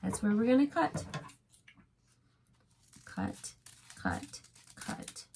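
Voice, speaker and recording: A woman's voice: on the high side, huge pitch swings, drawn-out words, vocal fry, heavy background noise. A woman teaching a craft step by step to young children, speaking as a preschool teacher in simple, encouraging phrases.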